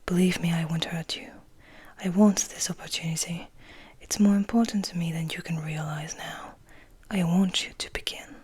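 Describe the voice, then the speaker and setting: A woman reading as a composed, wistful male vampire in a soft, confiding, breathy whisper for an ASMR audiobook. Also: lowish voice, fairly even intonation, moderate pace. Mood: gentle, confiding. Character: gentle, composed, wistful male vampire telling his story.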